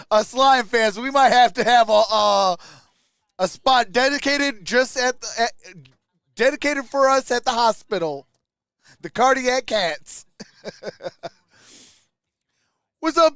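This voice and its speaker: High-voiced man commentating as a fan. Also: fairly bright tone, clean tone, no room sound, studio quality. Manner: partisan fan, talks to viewers. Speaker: sports livestream host, fan commentator. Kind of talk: live fan reaction and play-by-play commentary.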